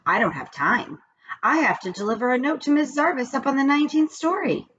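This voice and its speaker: A woman's voice: high-pitched, animated, a little fast, fairly bright tone, voice pushed up. A woman reading as a hesitant, puzzled young schoolboy from a children's book. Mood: resigned. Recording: dull lo-fi recording with background noise.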